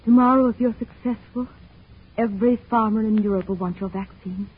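An actress playing a caring, devoted wife of a scientist in a 1940s radio drama. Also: female voice, fairly high pitch, steady tone, a little fast, breathy edge. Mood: concerned. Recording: tinny, narrow old radio recording.

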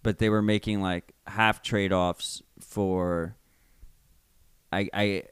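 New york dude cohosting, smooth clear voice kinda low, rambles n restarts, dry. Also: male voice, flat delivery, slow pace, no room sound. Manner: rambling, restarts and hedges, blunt. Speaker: podcast co-host. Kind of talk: casual chat.

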